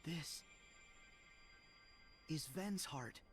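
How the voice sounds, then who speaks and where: A woman's voice: low voice, extremely slow, faint music behind. A woman playing an earnest, bewildered young heroine in a fantasy video game. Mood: bewildered, alarmed. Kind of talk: dramatic video game voice acting.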